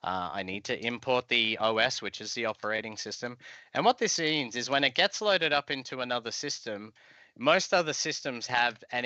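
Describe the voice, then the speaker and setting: A man's voice: mid-range voice, sharp tone, no echo, highs cut off. A man, around his thirties, giving an informal, explanatory live coding walkthrough as an instructor over a video call. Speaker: software tutorial instructor. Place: indoor room, video call.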